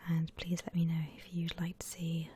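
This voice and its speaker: A woman softly whispering an ASMR drawing tutorial in a breathy, gentle, unhurried way, like an instructor. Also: on the low side, monotone. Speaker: ASMR art channel host.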